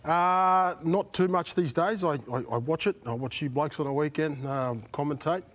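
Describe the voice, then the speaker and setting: A man, a former player on a panel, talking in a relaxed way with lots of fillers and "mate". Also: fairly high pitch, normal speed. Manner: relaxed, says mate often, many fillers. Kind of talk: casual panel chat.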